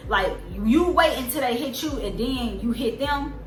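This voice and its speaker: A woman in her twenties with a soft, squeaky voice, telling a story in a casual, rambling way with lots of fillers. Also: animated, unhurried, a little hiss or hum. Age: 20s. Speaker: vlogger. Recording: roomy echo with loud music behind. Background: music.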